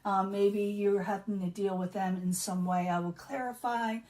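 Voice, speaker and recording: Hoarse woman reading tarot, a bit off-mic. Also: medium pitch, fairly even intonation, normal speed, a little mellow, distant mic. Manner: chatty, repeats herself, many um fillers. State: losing her voice, clearing throat. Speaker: tarot reader. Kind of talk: casual tarot card reading.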